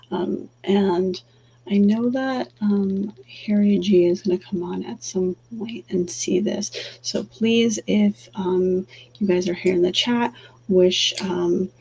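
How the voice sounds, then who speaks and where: Calm soft-voiced woman on a livestream, bit gravelly, dull lo-fi audio w a faint hum. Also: mid-range voice. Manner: soft, calm, halting with many fillers. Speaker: livestream host and energy healer. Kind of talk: casual livestream chat, then guided breathing.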